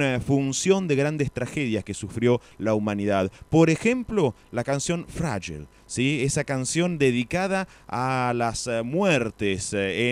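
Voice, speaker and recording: Clear-voiced male radio news commentator. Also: medium pitch, no room sound. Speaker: radio host presenting world news. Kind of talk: unscripted spoken news commentary.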